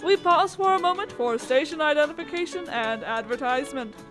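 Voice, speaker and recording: A woman's voice: high-pitched, lively intonation, brisk, music in the background. A woman announcer reading scripted old-time radio introductions and advertising in a presentational, salesy manner.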